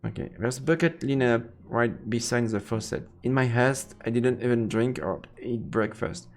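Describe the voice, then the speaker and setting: A man's voice: medium pitch, normal speed. A man doing a playful let's-play, reading story text aloud and adding casual commentary. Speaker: streamer, let's-player. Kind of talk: game narration and casual commentary. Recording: roomy reverb, music behind.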